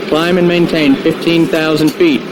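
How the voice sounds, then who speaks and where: A clipped, procedural air traffic controller played by a male actor in an audio drama. His voice sits a little high. Clear noise surrounds the radio transmission. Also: flat delivery, moderate pace, close to an everyday voice. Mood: businesslike.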